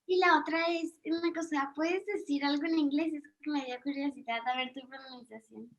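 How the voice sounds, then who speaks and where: A woman's voice: high voice, fairly even intonation, moderate pace. A female voice, hesitantly asking the guest questions. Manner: hesitant, with restarts.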